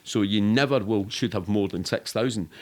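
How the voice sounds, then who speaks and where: A Scottish man in middle age talks in a fairly low-pitched voice. He tells his stories as a podcast guest would, casually and loosely, rambling between details. The delivery is sweary and matter-of-fact. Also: normal speed, a little mellow. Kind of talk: casual storytelling in an interview.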